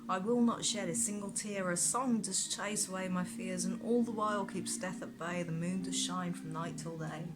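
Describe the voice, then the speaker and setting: A woman voicing a resourceful male adventurer who thinks aloud in a dark fantasy audiobook. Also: fairly low pitch, steady tone, moderate pace, few pauses, close to an everyday voice, rough recording. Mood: chanting. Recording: music behind the voice.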